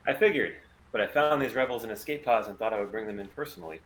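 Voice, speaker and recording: A man's voice: on the low side, expressive, fast talker, lightly acted. A man plays a confident mercenary pilot in a space adventure script. He is clipped and evasive, keeping cool under questioning. The voice sits in a roomy, echoing space with noticeable background noise. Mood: nonchalant. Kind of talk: table read of a sci-fi script.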